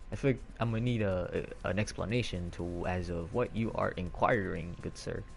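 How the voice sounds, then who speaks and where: A man's voice: sits low, normal speed, gravelly, faint music behind. A man streaming from home on a headset mic, rambling in casual chat with many fillers and restarts.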